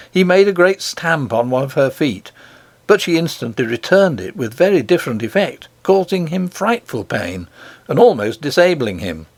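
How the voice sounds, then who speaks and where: A man reading a children's storybook as an audiobook narrator, in a measured, expressive literary manner. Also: fairly high pitch, dry recording.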